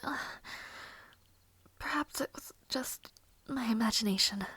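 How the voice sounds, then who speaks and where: A woman voicing a gentle, anxious, lovelorn young maid in an intimate fantasy audio roleplay, speaking softly and breathily with hesitant, old-fashioned formal phrasing. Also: fairly high pitch, a little slow, dry recording, exaggerated voice. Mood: uneasy, nervous. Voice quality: soft, breathy, often near whisper.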